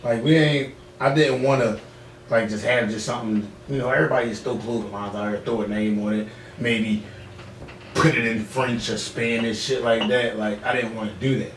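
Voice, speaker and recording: A man hosting a casual podcast, talking in animated, profane slang. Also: mid-range voice, normal speed. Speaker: podcast host. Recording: roomy, echoey, distant mic, background noise.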